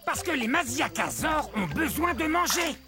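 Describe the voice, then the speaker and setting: An actor playing an expressive, friendly dinosaur who talks to young viewers in an educational children's cartoon, with music behind. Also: high voice, normal speed. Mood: excitable, boastful. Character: huge clumsy friendly dinosaur; small boastful excitable dinosaur.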